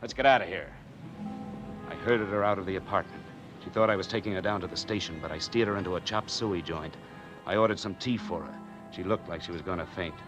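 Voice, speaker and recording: A man acting a wary, hardboiled man on the run in an old radio noir drama, narrating and talking in terse, deadpan slang. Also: deep voice, brisk, close to an everyday voice. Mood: controlled, probing. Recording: old time radio recording with music behind.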